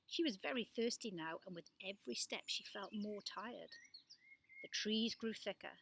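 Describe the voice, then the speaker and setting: A woman giving an expressive, animated reading of a children's story. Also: mid-range voice, moderate pace, creaky, slight background noise. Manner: animated delivery with acted-out dialogue. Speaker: storybook reader.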